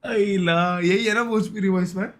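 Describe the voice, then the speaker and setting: A man's voice: high-pitched, steady tone, moderate pace. A man with an Indian accent chatting casually to viewers on a game stream, slangy and repetitive, often exclaiming and grumbling. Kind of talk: casual live stream chat with viewers while gaming.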